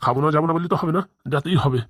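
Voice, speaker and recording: Woman voicing bullying male village cop, comedy cartoon, sarcastic commands, very fast, dry sound. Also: low-pitched, slightly rough, dry recording. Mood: menacing. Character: bullying, threatening male village police officer. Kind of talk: Bengali comedy cartoon dialogue.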